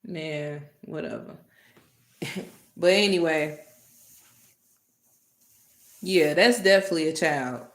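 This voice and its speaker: A woman hosting a live stream, talking casually and directly to her chat, animated and exasperated with commenters. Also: sits low, slow pace, pauses often, echoey room.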